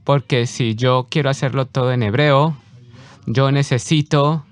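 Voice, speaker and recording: A man interpreting a guest preacher's sermon for a congregation, speaking haltingly with frequent fillers. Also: medium pitch, steady tone. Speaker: church interpreter.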